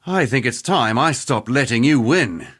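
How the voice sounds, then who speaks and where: A man's voice: medium pitch, animated, normal speed, fairly bright tone, slightly rough. A man playing a cocky, playful veteran fighter in a video game, jaunty and teasing, with relish for a brawl. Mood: jovial, cocky. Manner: jaunty, teasing, colloquial swagger. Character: cocky, playful veteran male arena fighter, jovially bloodthirsty. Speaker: video game character voice actor. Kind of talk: scripted game character dialogue.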